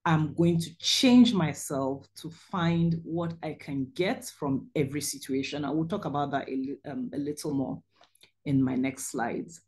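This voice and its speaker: A middle-aged woman speaks into a video call from a small room and hosts a talk over slides. Her voice sits low and mellow, and she tells her story earnestly with many pauses and ums. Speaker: webinar host and surgeon.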